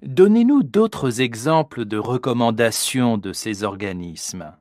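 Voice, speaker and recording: A woman interviewing a guest for a language lesson, asking questions with clear articulation. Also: extremely low pitch, lively intonation, a little slow, darkish tone, no echo, clean studio sound. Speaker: radio-style interviewer. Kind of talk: scripted educational interview.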